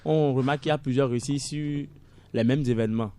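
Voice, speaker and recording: A male co-host with a mellow, warm voice asks his question in a dry, close-miked sound. He stumbles into it, repeating words as he starts. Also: mid-range voice, fairly even intonation, a little fast, smooth, no room sound. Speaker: radio co-host. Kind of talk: radio discussion.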